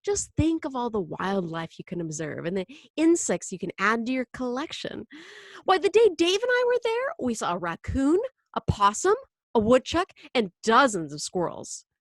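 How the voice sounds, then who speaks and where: Narrator lady doing a gushy storybook mom, raised pitch, swooping all over, breezy and determined. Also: big pitch swings, moderate pace, no room sound. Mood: calm coaxing. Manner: bright, coaxing, exclamatory. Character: gushing, enthusiastic artist mother, breezy and determined.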